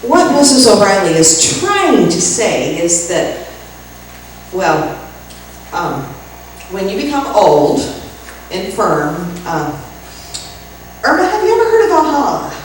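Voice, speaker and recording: A woman playing a sensible, patient young friend who coaxes gently in an old-time radio comedy. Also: mid-range voice, huge pitch swings, slow, long pauses. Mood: gently coaxing. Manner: coaxing, level-headed. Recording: echoey room, distant mic, faint noise.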